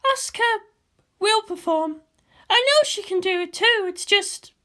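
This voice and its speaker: Man putting on a very high-pitched, emotional brash woman officer. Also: expressive, measured pace, bright, forceful tone, full character voice. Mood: sly, playful. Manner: emotional, teasing. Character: brash young woman officer, anxious, playful, world-weary. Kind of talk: dramatised fan fiction reading.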